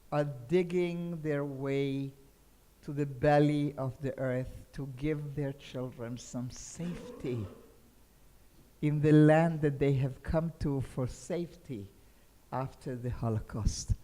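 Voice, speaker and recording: An older woman with a Hungarian accent lectures to a seated audience, speaking into a table microphone. She tells her material like a story and keeps asking her listeners whether they follow. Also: low voice. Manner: expressive storyteller, often checks in with listeners. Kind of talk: lecture on poetry to a seminar audience. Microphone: table microphone on a stand.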